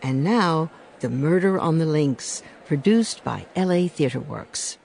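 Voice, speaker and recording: A male announcer reads a scripted introduction. His voice is slightly high, lively and gravelly with creak, over clear background noise. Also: takes it slowly.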